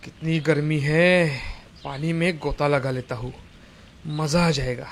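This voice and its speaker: A man's voice: on the high side, fairly even intonation, unhurried, a little hiss or hum. A man playing a hard-working, secretive farmer husband in a moral-story cartoon, with theatrical, exclaiming delivery. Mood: pleased. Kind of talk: exaggerated Hindi moral-story cartoon dialogue.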